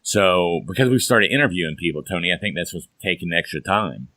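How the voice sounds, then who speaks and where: Hoarse guy hosting a chatty podcast, lowish bright voice, dry close mic. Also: animated, a little fast, no room sound. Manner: folksy, chatty, upbeat. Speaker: podcast host. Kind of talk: casual chat.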